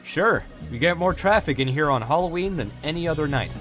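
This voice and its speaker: A man's voice: on the high side, expressive, brisk, faint music behind. A man playing a friendly, concerned late-night diner server in a visual novel, casual and helpful. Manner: casual, helpful, gently cautioning.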